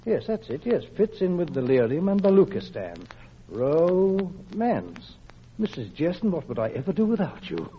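An actor in a 1940s radio drama playing a gentle, mildly fussy English husband, attentive to his wife and his crossword. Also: a little high, big pitch swings, moderate pace. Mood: absorbed, affable. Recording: muffled, noisy vintage radio broadcast.

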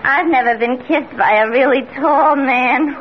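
Shrill-high, coy man-hungry spinster. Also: measured pace. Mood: coy, gushing. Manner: coy, gushing, easily affronted. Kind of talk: 1940s-50s radio comedy acting. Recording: dull vintage radio before an audience.

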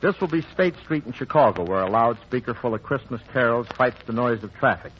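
A male announcer reads a sweeping scripted introduction in the style of 1940s radio, moving along quickly at a mid-range pitch. The sound is muffled, with faint noise underneath.

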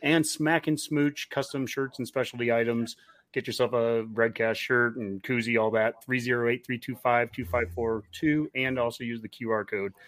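A man's voice: medium pitch, steady tone, moderate pace, fairly bright tone. A man in his forties co-hosting a casual sports podcast, easygoing and chatty with filler words. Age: 40s. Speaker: podcast co-host.

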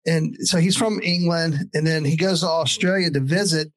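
A man's voice: a little high, moderate pace, dry recording. A male podcast host asking questions in a loose, casual way, full of "like" and "you know". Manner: loose, filler-heavy, lots of "like" and "you know". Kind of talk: casual interview chat.

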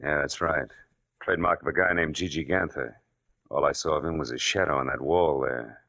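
Tough private detective, low husky voice, sardonic, terse, vintage radio crime drama. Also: male voice, brisk, bright, forceful tone, exaggerated voice. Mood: brisk, firm. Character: tough, wry hard-boiled private detective. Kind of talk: old-time radio drama narration and acting.

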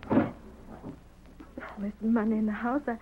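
A woman's voice: on the high side, little pitch movement, takes it slowly. A woman playing a worried, hesitant American wife and mother in an old radio Western. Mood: worried, tender. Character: anxious young frontier wife and mother. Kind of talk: 1940s Western radio drama acting. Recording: tinny, very noisy old radio recording.

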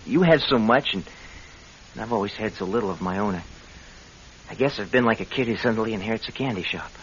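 An actor playing a sardonic, spendthrift husband in an old-time radio drama, with a breezy way of talking. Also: male voice, lowish voice, expressive, fast talker, close to an everyday voice. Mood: contrite, wheedling. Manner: sarcastic, breezy. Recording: dull, hissy vintage radio recording.

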